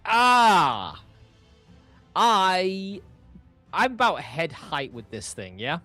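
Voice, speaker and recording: A man with a medium-pitched voice plays a tabletop roleplaying game. He thinks out his moves aloud in casual talk, over faint background music. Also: huge pitch swings, normal speed, bright, forceful tone, no room sound.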